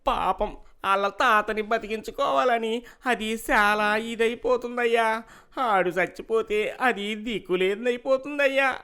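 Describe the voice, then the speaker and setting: A man puts on a simple-hearted village servant for a moral tale. He pleads in rustic, innocent tones. His pitch is very high for a man. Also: unhurried, bright, forceful tone, clear, resonant tone, full character voice. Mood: pleading. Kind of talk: dramatized moral story dialogue.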